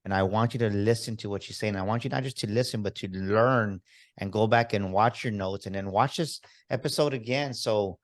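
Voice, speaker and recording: A man hosting a motivational podcast, talking with enthusiastic, emphatic hype-man energy. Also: on the low side. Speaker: podcast host. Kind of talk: upbeat motivational podcast interview.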